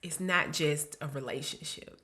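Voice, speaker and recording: Woman in her 30s, podcast co-host, bright and forceful tone, blunt and profane, casual. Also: sits low, measured pace. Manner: blunt, profane and laughing, drops her voice to an aside. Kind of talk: casual chat.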